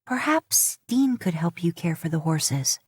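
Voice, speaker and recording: A woman's voice: on the low side, lively intonation, breathy edge, dry recording. Woman doing a firm, determined young tribeswoman. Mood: imploring. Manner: firm, measured.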